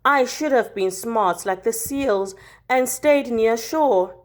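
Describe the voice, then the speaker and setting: A woman reading a children's picture book aloud like a teacher, expressively, with deliberate dramatic emphasis on key words. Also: on the high side.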